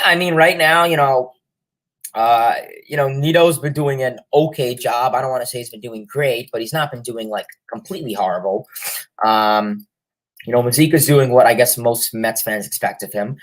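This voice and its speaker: A man's voice: medium pitch, poor audio. Young guy with a cold doing a sports livestream, sniffly, super rambly, tons of filler words. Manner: rambling, heavy fillers and restarts. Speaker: livestream host. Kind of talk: casual sports chat reading viewer comments.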